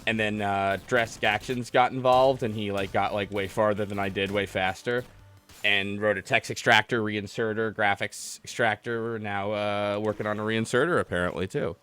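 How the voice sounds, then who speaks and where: A man's voice: lowish voice, steady tone, normal speed, a bit bright, smooth. A man hosting a gaming stream, talking in a casual, conversational way. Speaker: stream host. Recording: music playing behind the talk. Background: music.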